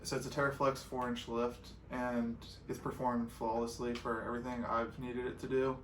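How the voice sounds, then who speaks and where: A man's voice: medium pitch, level tone, moderate pace. A young American man co-hosting an off-road vlog, reading out questions and giving practical, matter-of-fact answers in casual chat. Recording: roomy echo with some background noise.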